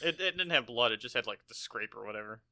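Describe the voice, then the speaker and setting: A man's voice: medium pitch, animated, fast talker, bright, forceful tone. A man co-hosting a podcast, chatting casually about a movie in blunt, slangy talk full of swearing. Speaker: podcast co-host. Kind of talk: casual chat, movie recap.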